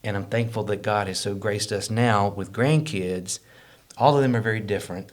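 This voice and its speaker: A man's voice: lowish voice, normal speed. A middle-aged man with a Southern US accent telling personal stories earnestly as a podcast guest, repeating words for emphasis. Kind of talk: personal storytelling.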